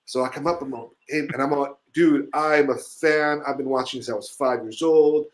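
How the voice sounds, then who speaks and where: A middle-aged man from Chile telling rambling, profanity-laced stories in a casual chat, animated, with frequent asides. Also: mid-range voice, normal speed. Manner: rambling, swearing, animated storyteller. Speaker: musician telling stories on a show.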